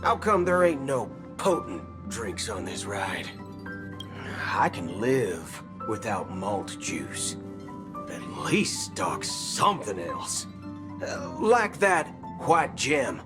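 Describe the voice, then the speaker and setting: A rugged, easygoing video game drifter drawls. His slow, folksy delivery rises and falls in lively swings. Music plays clearly behind him. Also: fairly low pitch. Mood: folksy grumbling. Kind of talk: video game character dialogue.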